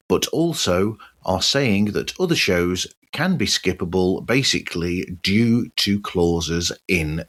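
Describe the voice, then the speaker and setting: A man presents a podcast news roundup in a deep, slightly rough voice. His intonation moves around a lot as he reads his script. He drops in chatty, wry asides along the way. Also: a bit bright. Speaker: podcast host.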